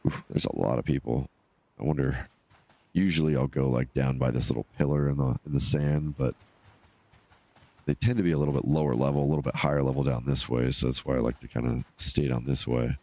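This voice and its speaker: A man with a stuffed-up, nasal voice giving casual, low-key, rambling solo commentary as he live streams a game. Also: steady tone, fairly quick, dark tone. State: congested from allergies.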